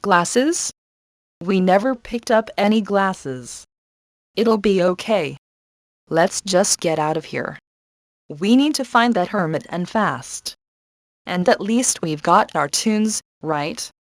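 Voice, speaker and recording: A woman's voice: medium pitch, lively intonation, takes it slowly, dry recording, studio quality. A woman playing a brave, sassy adventurer in a video game, briefing heroes with upbeat confidence and wry asides. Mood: wry, exasperated. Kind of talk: video game character voice lines.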